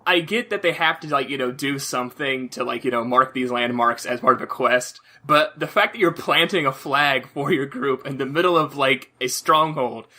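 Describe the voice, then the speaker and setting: A man with a bright, forward voice and a middling pitch talks casually, as on a podcast. He rambles through his thoughts about games, circling back and leaning on "like" as a constant filler. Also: moderate pace, hardly any pauses. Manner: rambling, heavy use of "like". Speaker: podcast host.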